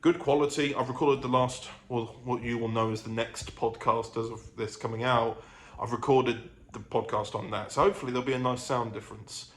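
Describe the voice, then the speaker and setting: A man's voice: medium pitch, fairly even intonation, fairly quick, few pauses, a bit bright. Man vlogging casually in an echoey room. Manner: hesitant, self-correcting, trailing off. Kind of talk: casual rambling vlog chat. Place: living room of own apartment. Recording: echoey room with faint ambient noise.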